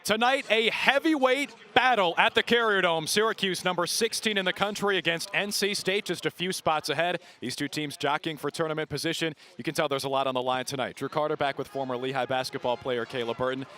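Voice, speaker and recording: A young American man in his twenties doing live sports play-by-play and show openings in a polished, energetic announcer delivery. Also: a little high, brisk, few pauses. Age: 20s. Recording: noisy sports venue ambience.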